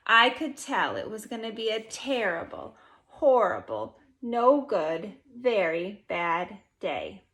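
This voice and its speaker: A woman in her forties reading a children's picture book aloud expressively, with deliberate, emphatic phrasing. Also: mid-range voice, very animated, fairly bright tone. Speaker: storybook reader. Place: living room at home, indoors.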